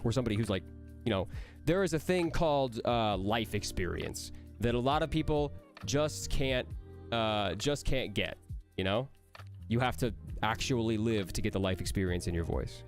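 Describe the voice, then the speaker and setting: A man's voice: lowish voice, lively intonation, faint music behind. Nasal young man joking through a Q&A. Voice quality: nasal, sounds like he has a cold. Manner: playful, joking, answers quickly. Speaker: voice actor. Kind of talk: livestream Q&A reading chat questions aloud.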